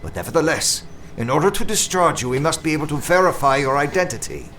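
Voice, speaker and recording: Male hospital doctor, exasperated, officious, animated intonation, formal, audio drama, slight background noise. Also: fairly high pitch, fast. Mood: strained, stern. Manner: formal, insistent, strained.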